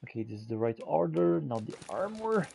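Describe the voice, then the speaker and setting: Woman gamer with widely swinging pitch. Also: very animated, a little fast, gravelly, warm tone, a little hiss or hum. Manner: exclamatory, pleading, swearing at the game. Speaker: video game streamer playing a roleplay. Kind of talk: reactive gameplay commentary.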